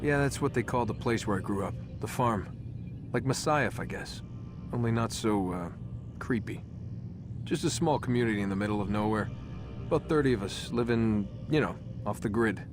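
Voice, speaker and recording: A man's voice: fairly low pitch, moderate pace, natural voice. An actor playing a guarded, wry man in his twenties, casual and laconic, in a video game cutscene. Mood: wry, reflective. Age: 20s. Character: guarded, wry young man held captive, casual ex-assassin. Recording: music behind the voice.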